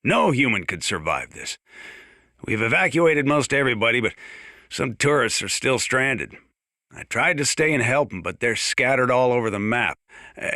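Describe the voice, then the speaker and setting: An actor playing a gruff, plain-spoken old small-town mountain sheriff with an American accent, talking over the radio in a video game. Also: male voice, medium pitch, pushed and bright, dry recording, studio quality. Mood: weary, grave. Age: older. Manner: folksy, plain-spoken, drawn-out hesitations. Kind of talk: in-game radio broadcast monologue.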